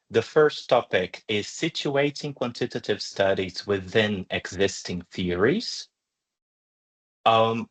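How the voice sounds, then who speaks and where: A man presenting a live online lecture in a measured, explanatory way, with hesitant pauses. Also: mid-range voice. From Brazil. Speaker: webinar presenter, journal deputy editor. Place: online video call.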